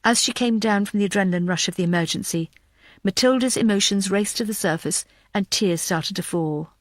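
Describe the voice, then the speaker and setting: A woman's voice: mid-range voice, fairly quick, dry recording. A woman reading scripted narration for a thriller audiobook.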